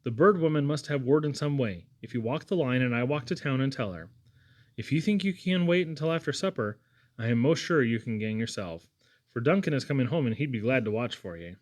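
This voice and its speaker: A man's voice: medium pitch, expressive, a little fast, lightly acted. Man reading as a kindly motherly country housewife. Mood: helpful. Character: kindly Scottish motherly housewife, helpful and curious.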